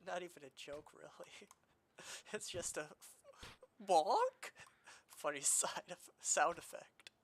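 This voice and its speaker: A man's voice: high-pitched, expressive, slow. A young man streaming a game, chatting casually in a rambling, self-deprecating way with many fillers, his voice slightly nasal from allergies. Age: young adult.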